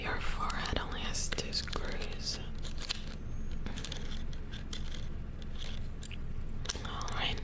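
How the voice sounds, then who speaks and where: A woman playing a gentle, squeamish robot repair engineer in a hushed, hesitant ASMR roleplay, murmuring to herself. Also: measured pace. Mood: gentle, focused. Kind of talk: soft-spoken whispered ASMR roleplay.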